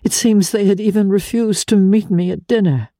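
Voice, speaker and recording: A woman voicing a dignified, sorrowful married woman renouncing her lover in a dramatic audiobook, with measured, formal earnestness. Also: medium pitch, warm tone, no echo, studio quality, voice pushed up, lightly acted. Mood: earnest confession. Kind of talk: dramatic literary audiobook reading.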